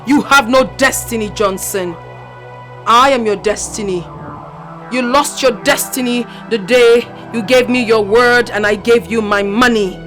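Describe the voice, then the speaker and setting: Female ghost, Nigerian accent, film drama. Highish voice, stern and unyielding, demanding her due, music underneath. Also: a little slow, a bit bright. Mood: cold, menacing. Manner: stern, commanding, declarative. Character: vengeful ghost of a dead woman, demanding and unyielding.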